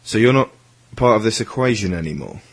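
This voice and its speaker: A man's voice: fairly low pitch, animated, fairly quick, vocal fry, husky, voice pushed up, exaggerated voice. A man playing an earnest, troubled schoolboy in an audio drama. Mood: pressing. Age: teenage schoolboy figure. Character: earnest, troubled schoolboy pleading against violence. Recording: music under the voice.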